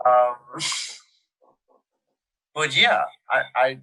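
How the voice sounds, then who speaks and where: Bright-voiced podcast host. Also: medium pitch, drawn-out words, low-quality recording. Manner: stammers and restarts, relaxed. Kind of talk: casual podcast chat.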